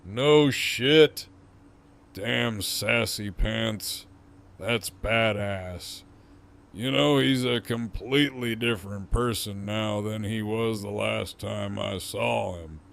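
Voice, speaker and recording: A man playing a gruff, sardonic former villain in an audio drama, talking slowly and profanely in a gravelly voice. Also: on the low side, a bit bright, exaggerated voice. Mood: wry, impressed.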